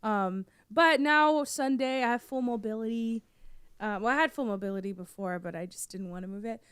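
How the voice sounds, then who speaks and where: Hoarse young woman chatting, coughing. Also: on the high side, moderate pace, a bit bright, no echo. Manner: rambling, lots of fillers and restarts. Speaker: podcast co-host. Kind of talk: casual chat.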